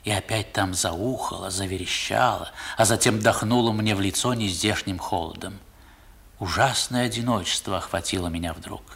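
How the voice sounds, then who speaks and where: Wistful literary narrator, lowish husky voice, expressive measured storytelling, vintage radio recording. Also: male voice, heavily acted. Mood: awed, uneasy. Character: wistful male narrator recalling boyhood. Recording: old 1960s radio recording.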